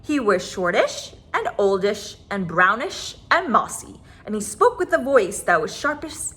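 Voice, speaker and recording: A woman reading a children's story aloud in an expressive, dramatic way, drawing out words for emphasis. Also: mid-range voice, unhurried.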